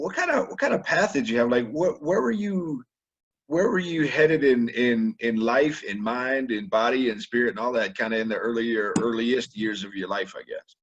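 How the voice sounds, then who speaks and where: Husky-voiced man host, rambling and stammering, on a dull lo-fi call. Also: medium pitch. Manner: rambling, stammering restarts, slangy. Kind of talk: casual interview chat. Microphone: webinar video call.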